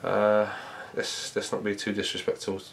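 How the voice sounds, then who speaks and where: A man in his thirties speaks as a football manager after a match, reflective and measured with frequent fillers. His voice sits very low and stays flat in pitch. Faint room ambience hangs behind him in a small indoor press room. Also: creaky, slight background noise, rough recording. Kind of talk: post-match interview.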